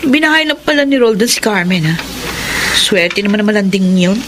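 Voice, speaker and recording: A woman's voice: mid-range voice, expressive, fairly quick, music in the background, natural voice. A woman acting a bitter, catty, jealous young woman with a Filipino accent in a radio drama.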